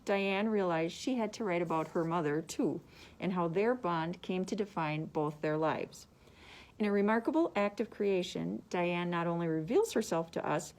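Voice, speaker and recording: A woman librarian presenting book picks, reading prepared summaries aloud in a measured, deliberate way. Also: fairly low pitch, expressive, darkish tone.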